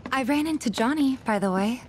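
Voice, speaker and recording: An actress with an American accent playing a warm, earnest young woman fighter in a video game. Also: sits high, background noise. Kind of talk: video game cutscene voice acting.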